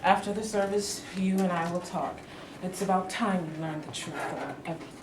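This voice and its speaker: A woman's voice: lowish voice, brisk, natural voice. A woman reading the part of a proud, dignified elderly mother in a staged play reading. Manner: dignified, bursts into angry outrage. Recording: echoey room, distant mic, background noise.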